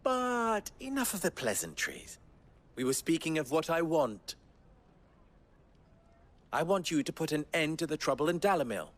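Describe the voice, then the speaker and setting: An actor in a cinematic video game playing a young merchant boss who is sly, smug and condescending, with mocking, mannered rhetorical questions. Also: male voice, on the high side, big pitch swings, moderate pace, long pauses. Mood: smug, businesslike.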